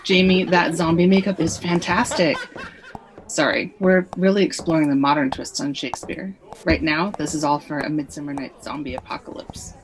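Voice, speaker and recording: An actress playing a busy, distracted theatre festival director in a radio play, with a brisk manner. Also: female voice, low voice, little pitch movement, background noise, close to an everyday voice.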